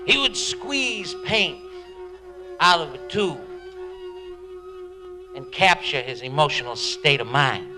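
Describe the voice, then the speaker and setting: A man's voice: on the high side, animated, takes it slowly, long pauses, over music. A man playing a fervent beat writer, delivering a dramatic, emphatic spoken-word monologue about his craft. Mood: reflective. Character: fervent beat-generation male writer reminiscing and preaching on writing.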